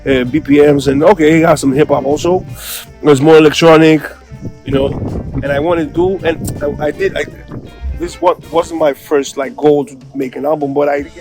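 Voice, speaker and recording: A middle-aged man with a Greek accent speaks in a fairly high-pitched voice. He chats casually as a rapper in an interview, in loose, slangy English. Music plays clearly behind him. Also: fairly even intonation, normal speed. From Greece.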